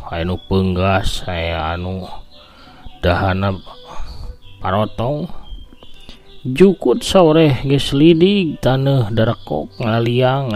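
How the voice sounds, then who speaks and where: A man with a Sundanese accent telling a folk tale as host, in a theatrical, drawn-out radio-story manner. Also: lowish voice, a little mellow.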